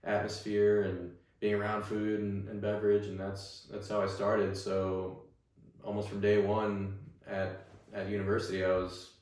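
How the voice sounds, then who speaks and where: A man's voice: on the low side, measured pace. Rambling young man, echoey distant mic. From Staten Island, New York. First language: Russian. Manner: relaxed, rambling with many fillers and restarts. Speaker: guest. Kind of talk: casual interview chat.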